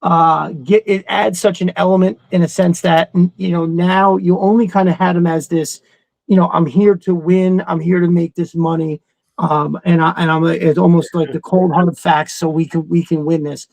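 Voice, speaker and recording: Creaky, higher-voiced man in rambling fan chat. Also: little pitch movement, moderate pace, darkish tone, no room sound, rough recording. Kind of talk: casual chat.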